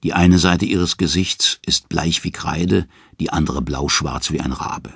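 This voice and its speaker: A man narrating a mythic tale in dramatic, scripted storytelling style with a gravelly, husky voice. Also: a bass voice, measured pace, fairly bright tone, no echo, studio quality.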